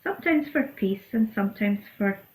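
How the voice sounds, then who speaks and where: A woman reading a bedtime story for a church in a slow, soothing, expressive way. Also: medium pitch. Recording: roomy echo.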